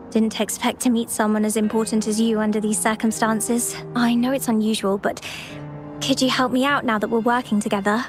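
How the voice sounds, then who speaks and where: A woman's voice: fairly high pitch, natural voice. A woman voicing an earnest young researcher. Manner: earnest, polite, explanatory. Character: earnest young female field researcher, worried. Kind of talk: video game cutscene voice acting. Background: game music.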